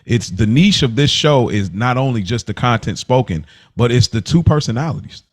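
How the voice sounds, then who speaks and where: A man's voice: mid-range voice, animated, moderate pace. A man co-hosting a podcast in casual conversation, reflective and emphatic, checking that listeners follow him. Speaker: podcast co-host.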